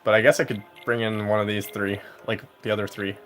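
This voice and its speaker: Young male streamer, lowish voice, easygoing and rambling, heavy on filler words. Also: little pitch movement, a little fast, a bit bright, soft background music. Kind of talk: casual live-stream chat while gaming.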